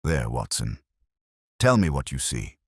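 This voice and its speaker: A man voicing a brisk, precise, keenly observant detective who lectures in an audiobook story. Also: extremely low pitch, very animated, creaky, dry recording, studio quality, voice pushed down, heavily acted. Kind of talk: audiobook character dialogue.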